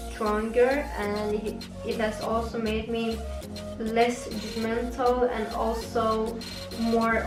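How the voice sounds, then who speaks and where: A woman in her late twenties, raised in Norway and originally from Kurdistan, with a mixed Norwegian and Kurdish accent, chatting casually to camera as a beauty vlogger and pausing often on fillers. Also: on the high side, roomy sound, distant mic, low-quality recording.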